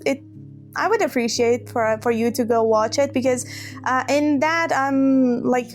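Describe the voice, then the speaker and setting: A woman's voice: sits high, a little slow, soft background music. A woman telling a personal story to camera, hurt and hesitant, often restarting her words. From Iran. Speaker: vlogger.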